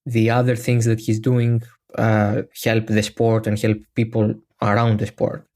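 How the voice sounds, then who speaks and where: A man's voice: lowish voice, monotone, measured pace, a little mellow. Greek man hosting a podcast. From Greece. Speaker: podcast host. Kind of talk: casual podcast chat.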